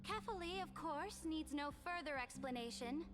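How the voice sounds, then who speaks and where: A woman's voice: high voice, expressive, moderate pace. A woman playing a cold, imperious ruler in a fantasy game, speaking in haughty, commanding oratory. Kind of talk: scripted fantasy game voice acting. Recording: game audio over clear music.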